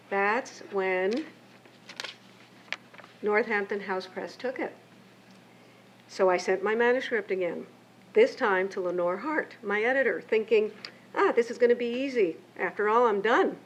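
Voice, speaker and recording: Older woman reading a reflective keynote. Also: mid-range voice. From Mexico and United States. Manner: measured, reflective, reading from pages. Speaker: keynote speaker and memoirist. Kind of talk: reading a prepared keynote speech. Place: lecture event. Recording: muffled lo-fi with faint hiss.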